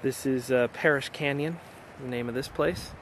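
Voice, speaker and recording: A man's voice: mid-range voice, moderate pace. A middle-aged American man giving an enthusiastic, casual summary as an amateur radio operator vlogging. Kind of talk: casual outdoor vlog talk, summarizing. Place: outdoors in hilly backcountry. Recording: outdoor noise behind the voice.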